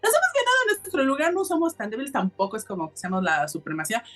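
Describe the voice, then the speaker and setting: A woman's voice: high-pitched, big pitch swings, quick pace, fairly bright tone, faint music behind. A woman co-hosting a podcast, chatting casually and emphatically as she addresses listeners. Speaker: podcast co-host. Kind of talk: casual opinionated chat.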